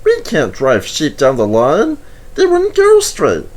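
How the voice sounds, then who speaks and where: A man's voice: fairly high pitch, wildly swooping pitch, takes it slowly, a little mellow, pitched above the performer's own voice, full character voice. A man voicing a naive, prim little male steam engine in a storybook, with a questioning, prissy manner. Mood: puzzled.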